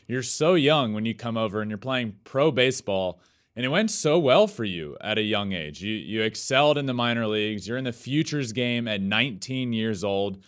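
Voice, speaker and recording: A man's voice: mid-range voice, fairly bright tone. A man hosting a conversational sports interview, speaking in an enthusiastic, effusive manner.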